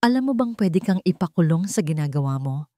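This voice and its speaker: A woman's voice: fairly low pitch, big pitch swings, brisk, warm tone, no echo, clean studio sound. A woman with a Filipino accent voicing a stern, suspicious man in a story, cold and restrained. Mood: grave, controlled.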